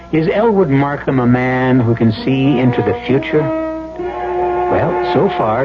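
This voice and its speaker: A man hosting a radio mystery drama, narrating in a measured, portentous way. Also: medium pitch, natural voice. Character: suspense host narrating a nightmare tale. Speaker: host of radio mystery series. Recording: dull old radio, loud music underneath.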